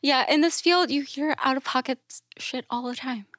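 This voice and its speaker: A woman's voice: high voice, normal speed, fairly bright tone, no echo. Candid woman, dry close recording. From Thailand. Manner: informal and outspoken, with frequent "like" and acted-out quotes. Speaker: podcast guest, classical musician and educator. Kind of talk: candid conversational storytelling.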